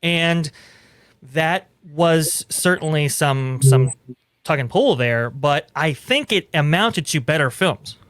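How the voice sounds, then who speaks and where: A man giving casual commentary in a panel discussion, speaking haltingly and repeating words as he thinks. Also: a little high, animated, fairly bright tone, no room sound. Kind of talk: casual discussion of entertainment business.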